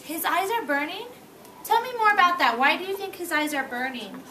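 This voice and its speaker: A woman's voice: very high-pitched, animated, a little fast, bright, forceful tone. A woman teacher leading an interactive read-aloud with young children, prompting them with questions and repeating herself. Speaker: early-grade teacher. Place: classroom with children. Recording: echoey room, distant mic, noisy.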